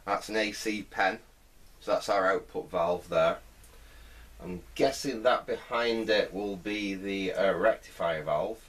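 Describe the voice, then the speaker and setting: A man's voice: on the low side, measured pace, fairly bright tone. A middle-aged man gives casual hands-on repair commentary, hesitantly thinking aloud as he works at an indoor bench. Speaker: vintage radio restorer, presenter. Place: indoor workbench.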